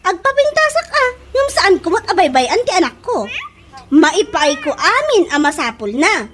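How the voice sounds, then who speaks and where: A woman with an Ilocano accent playing a vain, sharp-tongued mother in a comedy radio drama, sassy and boastful. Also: extremely high pitch, big pitch swings, moderate pace. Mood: boastful, taunting. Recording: noisy home-made radio drama recording.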